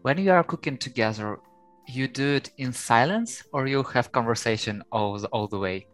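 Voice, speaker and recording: A man's voice: medium pitch, lively intonation, normal speed, fairly bright tone, soft background music. A man hosting a podcast and asking short, plain interview questions. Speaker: podcast host.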